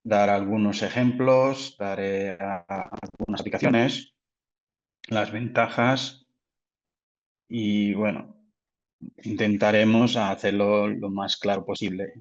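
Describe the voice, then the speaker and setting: Brief: low Portuguese-accented man, careful webinar presenter, muffled lo-fi audio. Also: fairly even intonation, slow, long pauses. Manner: careful, with frequent restarts. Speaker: product manager presenting. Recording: dull lo-fi audio.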